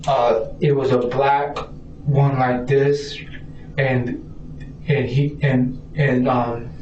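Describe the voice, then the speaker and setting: A man haltingly recounts an incident in a police interview, stammering, repeating himself and acting out dialogue in fragments. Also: mid-range voice, little pitch movement, darkish tone. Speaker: suspect being questioned by police. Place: indoor police interview room. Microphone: distant room recording. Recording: echoey room, distant muffled mic, noisy.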